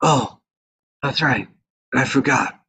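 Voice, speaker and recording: Aged mob patriarch, performed by a man: weary, forgetful, husky, creaky voice, rambling delivery. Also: medium pitch, sharp tone, heavily acted. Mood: weary, regretful. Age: older. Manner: rambling, halting. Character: weary, forgetful old mafia patriarch, male. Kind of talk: live table read of a crime film script.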